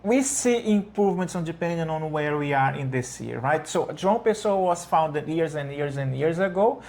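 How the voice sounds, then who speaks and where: Brazilian-accented male co-host, casual and unhurried, second-language English. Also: fairly high pitch, lively intonation, vocal fry, a little mellow. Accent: Brazilian Portuguese. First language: Portuguese. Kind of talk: casual conversational travel chat.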